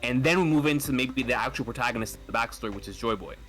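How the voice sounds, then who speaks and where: A man's voice: mid-range voice, rapid-fire, a bit bright, faint music behind. A man in a casual podcast chat, laying out his ideas eagerly and emphatically.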